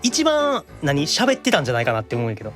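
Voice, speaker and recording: A man hosts a radio show in a fast, bantering style with a Kansai accent. His intonation jumps around as he teases and retorts. Faint music runs behind him. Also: fairly high pitch. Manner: teasing, excitable straight-man comebacks. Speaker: radio show host, voice actor.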